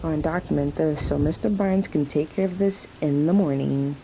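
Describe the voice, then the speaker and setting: A woman's voice: deep voice, moderate pace, gravelly, warm tone, a little hiss or hum, poor audio. A woman playing a harried, sarcastic night-shift waitress in a radio play, curt but placating with the boss. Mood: curt, irritated.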